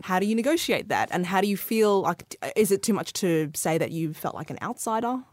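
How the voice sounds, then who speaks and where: A woman hosting a podcast, reading a scripted intro and asking gently probing interview questions. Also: mid-range voice, animated, fairly quick, dry recording, clean studio sound. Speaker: podcast host.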